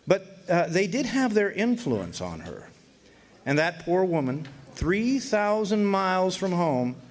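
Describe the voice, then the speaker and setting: A man's voice: fairly high pitch, lightly acted. A man playing a wry, folksy narrator who recalls an old frontier court case in a radio drama, with hesitant storytelling.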